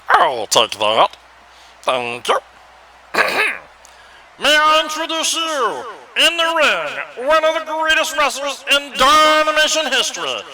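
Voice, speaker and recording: A pompous, boastful manager from a cartoon wrestling show. His very high voice swoops around grandly and takes its time over each phrase. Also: exaggerated voice, rough recording. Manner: theatrical, grandiose, drawn-out.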